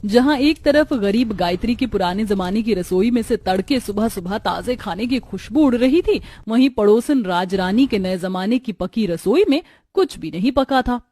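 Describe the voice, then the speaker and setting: A woman's voice: medium pitch, animated, moderate pace, hardly any pauses, mellow. A woman narrating a scripted story as the storyteller.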